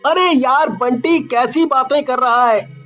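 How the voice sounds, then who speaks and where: An actor voicing a curious, skeptical and eager village boy in an animated story, with youthful, expressive exclamations. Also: high-pitched, slow pace, faint music behind.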